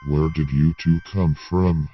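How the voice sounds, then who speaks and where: A man voicing a cold alien military governor who speaks in a monotone. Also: extremely low pitch, unhurried, soft background music, voice pushed down. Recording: dull lo-fi with hiss.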